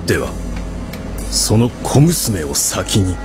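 A man's voice: lowish voice, very animated, slow, music in the background. An actor playing an eager, deferential mafia henchman in an audio drama, formal and conspiratorial. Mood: scheming. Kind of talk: Japanese drama CD acting.

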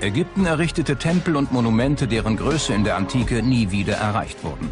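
A man reading scripted documentary narration in a measured, factual manner. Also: medium pitch, lively intonation. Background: background music.

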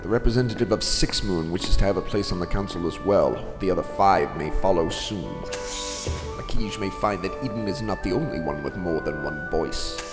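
A man acts out a weary commander and father in a space radio drama. He speaks low, with earnest authority, in echoing reverb. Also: normal speed, natural voice. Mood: weary, regretful. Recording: echoing reverb with music behind.

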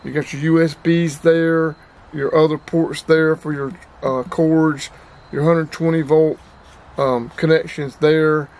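A man's voice: on the high side, little pitch movement, slow pace, a little mellow. Plain-spoken man in his fifties. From North Carolina. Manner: plain-spoken, rambling, repeats himself. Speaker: outdoors and radio channel host. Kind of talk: casual product demo vlog. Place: small storage room with shelves, indoors.

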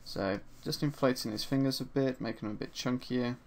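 Hoarse gravelly man narrating a tutorial. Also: medium pitch, fairly quick. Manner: relaxed and chatty, with frequent ums and uhs. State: slightly hoarse from a sore throat. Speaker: 3D art instructor. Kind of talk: screen-recorded software tutorial narration. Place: at his desk, voice-over for a screen recording.

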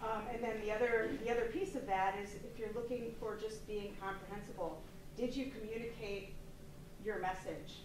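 A woman's voice: medium pitch, fairly even intonation, moderate pace, background noise. Distant woman speaking up in an echoey room. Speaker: workshop participant. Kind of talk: discussion comment. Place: seminar room.

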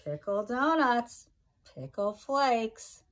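Slow-paced woman reading to children. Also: on the high side, big pitch swings. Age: middle-aged. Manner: slow, deliberate, sing-song delivery addressed to young children. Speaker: teacher reading a picture book aloud to children. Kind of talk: expressive children's read-aloud with chatty asides. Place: kitchen-like room at home, indoors.

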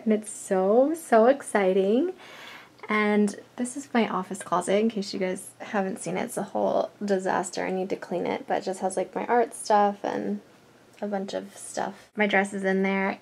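A young woman vlogging to a handheld camera, chatty and giggly, talking straight to her viewers. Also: on the high side. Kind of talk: casual vlog chat. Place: car, then outdoors and at home. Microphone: handheld camera at arm's length.

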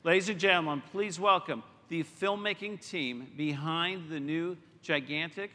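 A man's voice: on the high side, a little slow, sharp tone, a little hiss or hum. A middle-aged man presenting and proudly announcing films on a large arena stage. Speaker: studio executive presenting on stage. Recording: arena sound with long reverb.

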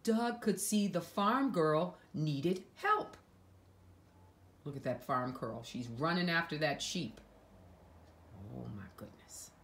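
A woman reading a picture book aloud to young children, with dramatic emphasis and hushed asides to her listeners. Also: low-pitched, animated, slow, long pauses. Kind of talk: expressive read-aloud storytelling for young children.

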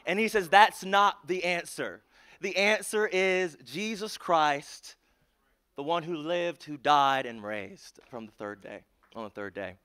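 Bright, clear-voiced young emcee. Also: male voice, fairly high pitch, slow pace. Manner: earnest, builds to rousing shouts, hushed prayer. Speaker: concert emcee. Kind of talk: emcee introductions and public prayer. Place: large auditorium stage. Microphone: handheld mic.

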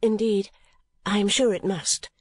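A woman's voice: mid-range voice, fairly even intonation, husky, no echo, clean studio sound, voice pushed up. A woman doing a timid earnest young woman. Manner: soft, earnest, halting.

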